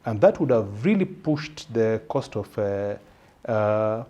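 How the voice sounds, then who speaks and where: A man with a Kenyan accent explaining policy as an interview guest, measured and halting as he searches for words. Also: lowish voice, animated, dark tone, highs cut off.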